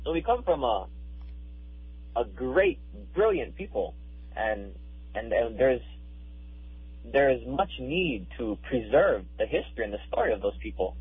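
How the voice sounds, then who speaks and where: A man's voice: medium pitch, big pitch swings, a little slow, long pauses, husky, no echo. Earnest man from Hawaii on a tinny line. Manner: earnest, emphatic, repeats words while building points. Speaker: activist guest. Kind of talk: radio interview.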